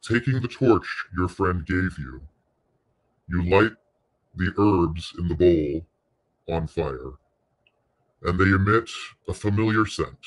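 Male meditation narrator, low register, very slow delivery, soothing and unhurried, short phrases with pauses. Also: low-quality recording. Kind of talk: guided meditation.

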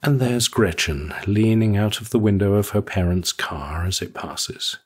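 A man's voice: lowish voice, a little slow, slightly rough. A man narrating a scripted short story in a wry storytelling manner. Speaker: audiobook narrator.

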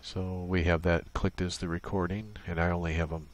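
A man's voice: low voice, level tone, brisk. A man presenting an unscripted tutorial, explaining a setup casually, step by step. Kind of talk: casual unscripted software walkthrough.

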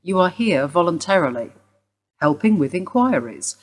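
An actress playing a woman detective who questions a suspect in a brisk, formal way. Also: fairly low pitch, big pitch swings. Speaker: police detective. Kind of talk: radio play acting.

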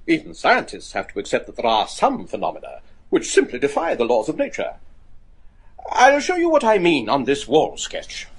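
An actor playing a learned, excitable professor of werewolf lore in a gothic horror radio drama, theatrical and scholarly. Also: male voice, a little high, normal speed, husky, exaggerated voice. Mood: scholarly, insistent. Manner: theatrical, scholarly, hesitant stammers.

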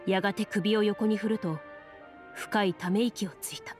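A woman plays a female game master in an anime fantasy game. She narrates scenes in a measured storytelling style, with faint music behind her. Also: medium pitch, fairly even intonation, close to an everyday voice. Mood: calm, storytelling. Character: game master narrating a tabletop adventure, also voicing townsfolk. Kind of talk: scripted narration.

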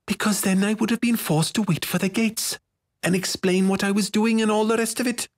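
Man voicing a high-pitched, feisty little girl. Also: big pitch swings, unhurried, breathy edge, full character voice. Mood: terse, firm. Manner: snappy, terse, firm. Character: small, stubborn, feisty young girl with a dragon.

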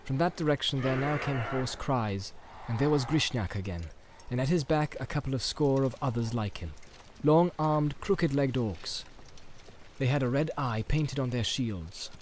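A male audiobook narrator tells a fantasy story in a dramatic, scripted style. His voice sits at a middle pitch. A faint ambient soundscape runs behind him. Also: animated, normal speed, slight background noise.

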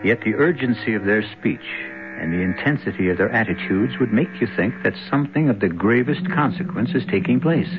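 A man hosting a 1970s radio mystery drama with ominous, deliberate, theatrical scripted narration. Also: on the low side, creaky, background noise. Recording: narrow 1970s radio recording with music.

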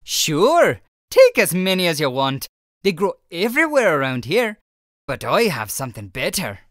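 A jolly leprechaun man with a brogue-style lilt, put on by a woman reading a picture book aloud. His voice sits fairly low and leaps all over in pitch as he welcomes and explains, and the recording is dry and close. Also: sing-song, moderate pace, no room sound. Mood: friendly. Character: cheerful male leprechaun shoemaker, friendly.

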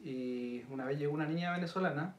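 A man in his thirties with a Chilean accent, telling stories in a relaxed podcast chat with Chilean slang. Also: a little high, fast talker. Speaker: podcast host. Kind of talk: casual chat. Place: indoor studio set with city backdrop. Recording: roomy sound, mic a bit distant.

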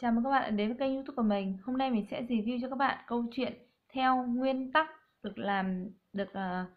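Fast-talking woman vlogging on lo-fi audio. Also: a little high. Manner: scripted greeting. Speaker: book reviewer. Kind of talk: vlog intro. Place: small room indoors.

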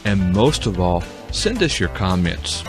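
A male announcer reads scripted podcast credits at a slow pace, with lively swings in pitch. Guitar music plays clearly behind his voice.

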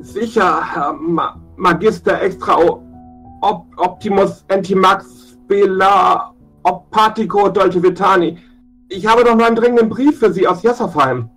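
A man playing a shy young messenger who speaks in a raised, somewhat brighter voice and stumbles over his words. He sounds anxious and unsure, with quiet guitar music behind him. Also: high-pitched, measured pace, pitched above the performer's own voice, full character voice, poor audio. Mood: timid. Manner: stammering, hesitant. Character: timid, stuttering young male messenger.

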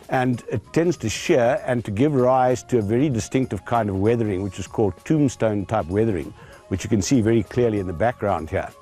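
Older man, geologist, technical precise talk, warm mellow voice, outdoors, faint music. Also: medium pitch. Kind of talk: explanatory field talk. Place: outdoors in dry bush.

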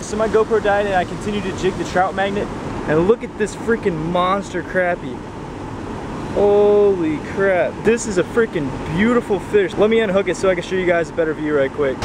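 A young man with a slightly high voice narrates his fishing trip in a chatty, excitable way, speaking to his viewers and even to the fish. He is recorded outdoors by a pond on a head-mounted action camera, with wind and water noise clearly in the background. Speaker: fishing vlogger. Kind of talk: casual first-person commentary while fishing.

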